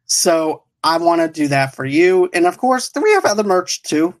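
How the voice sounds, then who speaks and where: A man's voice: a little high, big pitch swings, normal speed. Casual, rambling male show host. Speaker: host of an online pop culture show. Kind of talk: casual chat, signing off a show.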